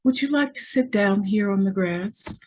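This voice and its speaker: A woman's voice: medium pitch, normal speed, darkish tone, a little hiss or hum, close to an everyday voice. An actress playing a naive, self-assured and sheltered teenage rich girl in an old-time radio drama, speaking with prim artlessness. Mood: friendly, curious.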